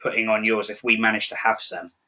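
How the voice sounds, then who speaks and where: A man's voice: on the low side, little pitch movement, fairly quick, sharp tone, slight background noise. A young man hosting a children's cooking demo in a chatty, encouraging way. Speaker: children's show host. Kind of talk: cheerful kids' cooking demo.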